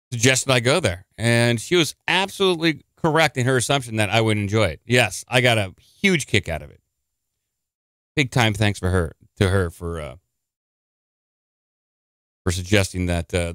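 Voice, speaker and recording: A man's voice: lowish voice, a bit bright, no echo, studio quality. A man hosting a live stream in a chatty, rambling way, a little congested and nasal. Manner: chatty, rambling, quick to gripe. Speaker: podcast host. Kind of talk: casual live-stream talk.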